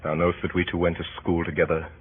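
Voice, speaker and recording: An actor playing a noble, weary defeated Roman general in solemn, measured, declamatory radio Shakespeare. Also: male voice, deep voice, monotone, natural voice. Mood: resigned. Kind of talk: 1930s radio Shakespeare acting. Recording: scratchy, muffled 1930s radio broadcast.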